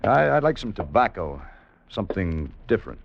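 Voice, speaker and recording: Wry american spy in an old radio drama, lowish voice with lots of swing. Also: male voice, sing-song, moderate pace, vocal fry, noisy background. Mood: casual, wry. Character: American freelance correspondent turned undercover agent, wry narrator. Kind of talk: 1950s radio drama narration and acting. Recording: muffled old radio recording, music behind.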